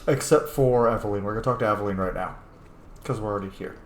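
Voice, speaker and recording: A man's voice: on the low side, fast talker, roomy sound, a little hiss or hum. A man giving casual playthrough commentary as a game streamer, playfully talking back to the game.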